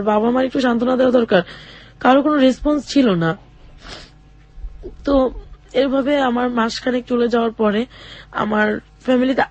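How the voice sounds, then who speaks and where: A young woman telling her own life story in an interview, speaking haltingly with many fillers and restarts. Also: fairly high pitch, a little mellow, dry recording, lo-fi sound. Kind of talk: personal testimony in a radio interview.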